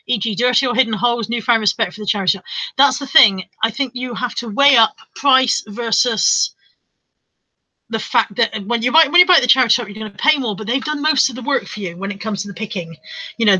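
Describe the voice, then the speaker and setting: A woman's voice: on the high side, pushed and bright, no echo, low-quality recording. A British woman chatting casually on a live stream, reading out viewer comments and rambling in reply.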